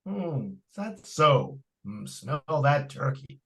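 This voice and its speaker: A man's voice: medium pitch, very animated, slow pace, creaky, sharp tone, full character voice. Man playing a swooping, patronizing radio-drama dad. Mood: indulgent, amused. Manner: hesitant, stammering, patronizing. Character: distracted, indulgent but firm family father. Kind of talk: old-time radio suspense acting. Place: live stage radio-play performance.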